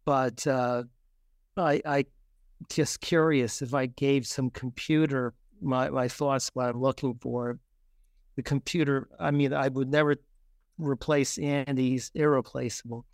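A man talking as an interview guest and author, telling anecdotes in a halting way with repeated words and restarts. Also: mid-range voice, steady tone, dry recording. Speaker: guest, children's book author.

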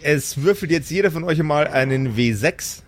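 A man's voice: fairly high pitch, lively intonation, normal speed. A man acting as game master, narrating an improvised tabletop role-play. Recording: music behind the voice. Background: background music.

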